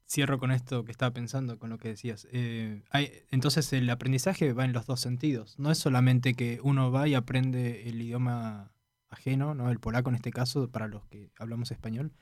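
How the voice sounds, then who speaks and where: A man's voice: medium pitch, steady tone, a little fast, a little mellow, no echo. Joking male co-host, dry sound. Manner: friendly, joking, laughs easily. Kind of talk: casual podcast conversation.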